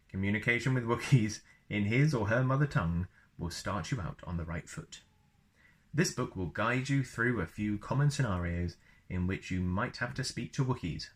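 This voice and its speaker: A man reading a book aloud as a storyteller, with playful, chatty asides for young listeners. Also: fairly low pitch. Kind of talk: read-aloud narration with casual asides.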